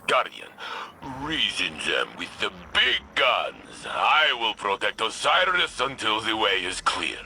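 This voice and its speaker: Noble game robot warrior, slow grand delivery, gravelly, big-hearted. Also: male voice, on the low side, sing-song, bright, forceful tone, slight background noise, exaggerated voice. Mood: hearty, bold. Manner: theatrical, grand declarations. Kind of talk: video game voice acting.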